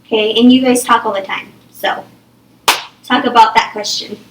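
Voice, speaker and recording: A woman's voice: a little high, fairly even intonation, normal speed, background noise. A young woman teaching a small reading group, thinking aloud and checking in with "okay?" and "right?" all the time. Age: young adult. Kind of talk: classroom think-aloud lesson. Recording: echoey room, far from the mic.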